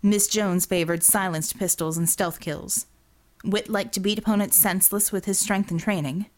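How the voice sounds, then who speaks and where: A woman's voice: mid-range voice, steady tone, moderate pace. Woman narrating fiction. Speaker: audiobook narrator.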